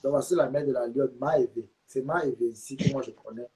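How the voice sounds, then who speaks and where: A man's voice: mid-range voice, a little fast, warm tone, poor audio. A man hosting a live stream, talking insistently and repeating his points while urging viewers to share. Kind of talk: heated live stream chat.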